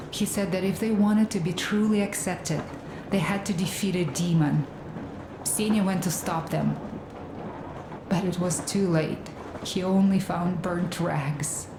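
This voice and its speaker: A woman playing a weary, grieving mother in a video game cutscene, telling her story in a halting, matter-of-fact way. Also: on the low side. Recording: roomy sound with clear ambient noise.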